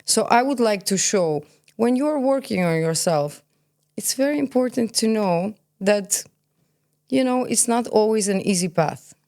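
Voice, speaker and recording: A woman's voice: mid-range voice, expressive, unhurried, warm tone. A woman around forty, born in Kazakhstan and raised in Belgium, speaking candidly in an interview. She is opinionated and emphatic, often posing questions and answering them herself. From born in Kazakhstan, grew up in Belgium. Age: about 40. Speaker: podcast guest, cosmetologist.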